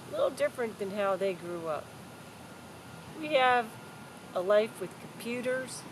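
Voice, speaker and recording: Older woman storytelling over clear background noise. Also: mid-range voice. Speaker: grandmother telling family history to a grandchild. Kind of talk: casual storytelling addressed to a child.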